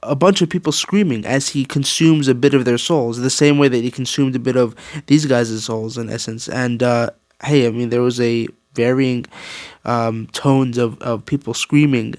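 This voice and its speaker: A man's voice: medium pitch, dry recording. A man giving a casual, opinionated rant as a gaming YouTuber, slangy, rambling and exasperated. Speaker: video game YouTuber.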